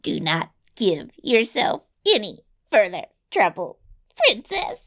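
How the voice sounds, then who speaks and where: A woman's voice: medium pitch, huge pitch swings, takes it slowly, a bit bright, heavily acted. A woman voicing a small, malicious male dwarf in a fairy tale, boastful and sly, with a taunting, mock-courtly drawl. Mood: smug. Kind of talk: fairy tale storybook reading.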